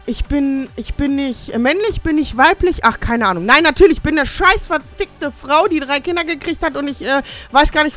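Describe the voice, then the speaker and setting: A middle-aged woman hosting a casual radio show, rambling and self-mocking, reading listener messages in halting broken English. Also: sits high, fairly bright tone.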